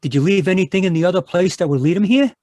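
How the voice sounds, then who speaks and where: An actor playing a hot-tempered American small-time crook in an old-time radio crime drama, talking in gruff, slangy tough-guy style. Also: high-pitched, very fast, a little mellow, dry recording, clean studio sound, close to an everyday voice. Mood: edgy, gruff. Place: performed from home on a video call.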